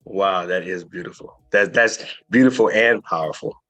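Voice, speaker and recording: A man's voice: low-pitched, normal speed, fairly bright tone. A man hosting an inspirational podcast interview, speaking earnestly and emphatically and repeating phrases for effect. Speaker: podcast host.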